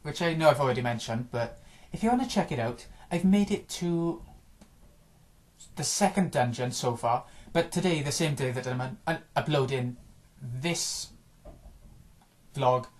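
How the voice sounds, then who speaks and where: Rambling, slightly hoarse man. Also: medium pitch, a little slow. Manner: rambling, offhand, frequent restarts and small chuckles. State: slightly hoarse from a day of recording. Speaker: gaming vlogger. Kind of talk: casual rambling video-game chat.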